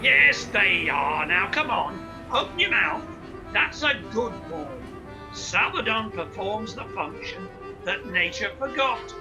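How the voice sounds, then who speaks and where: Radio play role: performer as slick, grandiloquent street hawker. Unhurried, highly animated delivery over music. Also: poor audio. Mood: slick, showy. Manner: grandiloquent showman's patter. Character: brash, slick street hawker of toothpaste and hair tonic. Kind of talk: theatrical radio play acting, sales patter.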